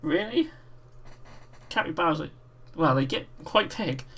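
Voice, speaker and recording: A British man with a croaky voice hosting a livestream, chatting casually and reading out viewer messages while painting miniatures. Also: fairly high pitch, a little slow. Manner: relaxed, reads out chat messages. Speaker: livestream host.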